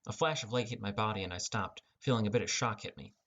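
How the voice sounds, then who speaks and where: A man's voice: lowish voice, fast, fairly bright tone, highs cut off. A young man reading a first-person fantasy audiobook as a scripted narration.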